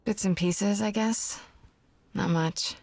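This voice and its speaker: A woman's voice: mid-range voice, steady tone, slow, creaky, darkish tone, dry recording. An actress playing a questioning, uneasy young woman newly made a god in a video game, talking in a plain, casual way. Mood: curious. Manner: inquisitive, plain-spoken, casual.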